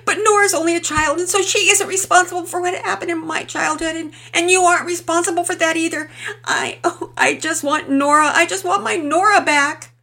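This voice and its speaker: A woman's voice: extremely high pitch, fairly even intonation, brisk, voice pushed up, exaggerated voice. A woman voicing a distraught middle-aged mother, pleading and near tears. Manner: pleading, sobbing, near shouting.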